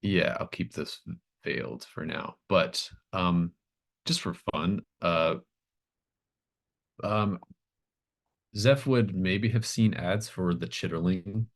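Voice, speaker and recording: This is a man with a very low, deep voice, acting as game master for a tabletop horror game. He speaks slowly and haltingly, thinking his way through eerie descriptions.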